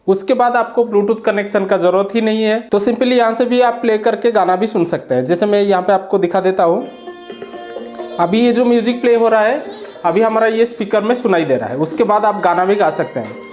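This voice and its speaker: A man's voice: high voice, brisk, a little mellow, soft background music. A man giving a product demonstration and review, explaining plainly step by step.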